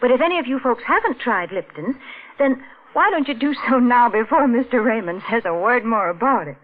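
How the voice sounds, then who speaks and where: A woman announcer giving a chatty, homespun sponsor pitch in 1940s radio style. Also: animated, brisk. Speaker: commercial announcer and host's foil. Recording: thin, narrow old radio recording.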